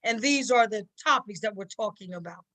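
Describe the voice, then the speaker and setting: Bright, forceful woman in an interview. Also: medium pitch, expressive, fairly quick. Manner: emphatic, acts out quoted exchanges. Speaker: cast member, interview guest. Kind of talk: conversational interview answers.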